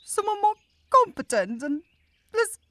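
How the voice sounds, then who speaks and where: A woman's voice: very high-pitched, very animated, a little slow, fairly bright tone, no room sound, pitched above the performer's own voice, full character voice. A woman voicing a sweet, clumsy forest witch in a fantasy ASMR roleplay, stammering and hesitant as she talks. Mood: flustered relief. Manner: stammering, hesitant, breaks off and repeats words.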